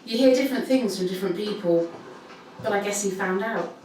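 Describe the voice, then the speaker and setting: A woman's voice: mid-range voice, fairly quick, darkish tone, lightly acted. A woman playing a guarded pub worker who tells local ghost tales in hesitant, clipped answers, as in a recorded interview. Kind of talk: naturalistic audio drama interview. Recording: echoey room, distant mic, faint noise.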